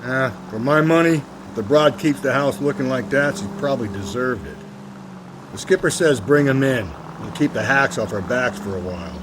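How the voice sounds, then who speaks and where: An American man playing a crude, cynical 1940s homicide detective in a crime game, gruff and wisecracking. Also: medium pitch, lively intonation, normal speed, background noise, close to an everyday voice. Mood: brash, hard. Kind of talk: period crime game acting.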